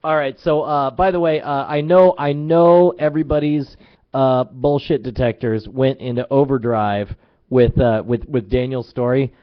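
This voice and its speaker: A man's voice: medium pitch, normal speed. A man hosting a live talk show in a casual style, laughing often and stumbling through fillers. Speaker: podcast host. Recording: lo-fi live stream audio.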